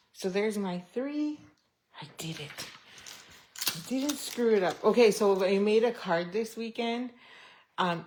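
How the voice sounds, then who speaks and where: Slow, chatty woman demoing crafts. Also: mid-range voice, a little mellow, a little hiss or hum. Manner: chatty, self-deprecating, thinks aloud while working. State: losing her voice, clearing throat. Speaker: stamping craft demonstrator. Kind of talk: casual craft tutorial chat.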